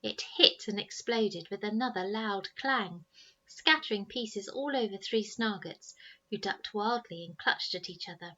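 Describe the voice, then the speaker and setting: Bright-voiced woman reading a storybook, slightly lo-fi. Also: mid-range voice, unhurried. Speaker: teacher reading to pupils. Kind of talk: expressive storybook narration.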